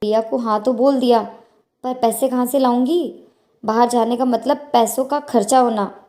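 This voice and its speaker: A woman's voice: on the high side, fairly even intonation, moderate pace, warm tone, natural voice. A woman voicing an anxious young daughter-in-law in a moral story. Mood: casual, worried. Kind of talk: dramatized moral story dialogue.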